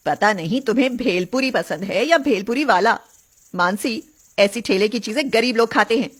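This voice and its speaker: A woman's voice: fairly high pitch, animated, normal speed. A woman voicing a snobbish middle-aged mother who nags and disapproves in a story. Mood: disapproving. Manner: nagging, disapproving.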